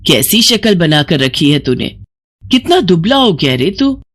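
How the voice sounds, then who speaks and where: A woman voicing a doting middle-aged village mother who scolds fondly and questions excitably in a moral story. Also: lowish voice, measured pace. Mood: fussing, scolding. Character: doting, fussing village mother, eager for son's wedding.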